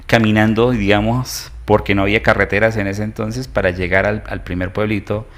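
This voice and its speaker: Colombian man in his fifties, low-pitched, hesitant guest full of fillers. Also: flat delivery, fairly quick, a bit bright. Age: 50s. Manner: hesitant, many fillers, storytelling. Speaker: guest, entrepreneur. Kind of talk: interview.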